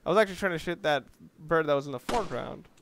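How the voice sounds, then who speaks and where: A man's voice: on the high side, fast talker, creaky, noisy background. A man giving casual video game commentary, rambling along and thinking aloud as he plays. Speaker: let's play host.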